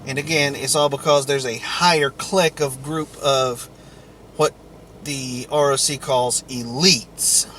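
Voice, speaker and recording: A man giving a rambling personal account as a vlogger, halting between short phrases. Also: mid-range voice, fairly even intonation, bright, forceful tone, a little hiss or hum.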